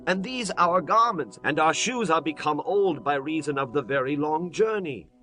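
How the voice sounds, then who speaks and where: An actor playing a humble, wily ancient envoy who pleads solemnly and deliberately in a dramatized scripture audiobook. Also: male voice, fairly high pitch, lively intonation, pushed and bright, soft background music. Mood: humble pleading. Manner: solemn, deliberate biblical delivery.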